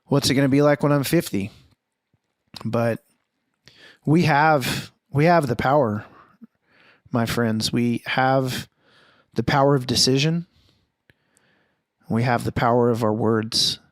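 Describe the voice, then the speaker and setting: A man's voice: medium pitch, slow pace, long pauses, no echo, clean studio sound. A forty-year-old man hosting a podcast alone, reflecting earnestly and thinking aloud, with frequent restarts and questions to himself. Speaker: podcast host. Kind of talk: reflective solo monologue.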